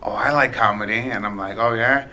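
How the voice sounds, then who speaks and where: A man's voice: on the low side, steady tone, brisk, bright, forceful tone. A man in his late twenties, a guest telling stories in a casual, profane, rambling way with many restarts. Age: late 20s. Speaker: podcast guest, aspiring comedian. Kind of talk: casual storytelling chat.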